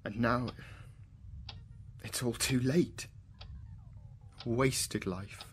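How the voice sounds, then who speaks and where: An actor playing an anguished, logical mathematician in a radio drama, speaking emotionally and haltingly as he pleads to be understood. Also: mid-range voice, steady tone, a little hiss or hum. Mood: resigned, wistful. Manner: emotional, halting, sighing delivery.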